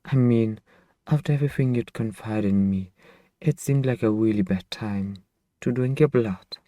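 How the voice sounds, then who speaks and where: A man playing a centuries-old vampire master and possessive, tender boyfriend in an intimate ASMR roleplay, speaking softly and wistfully with lingering phrasing. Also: lowish voice, normal speed, dry recording, studio quality, close to an everyday voice. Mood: smitten, wistful. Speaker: ASMR voice actor.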